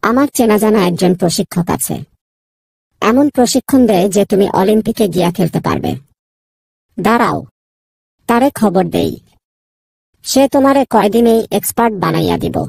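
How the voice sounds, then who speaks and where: A woman's voice: mid-range voice, lively intonation, moderate pace, long pauses, a little mellow, breathy edge, no room sound. A woman voicing a fussy, inquisitive busybody animal who bosses everyone around in a children's cartoon. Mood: bossy, eager. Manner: inquisitive, bossy, repeats a catchphrase.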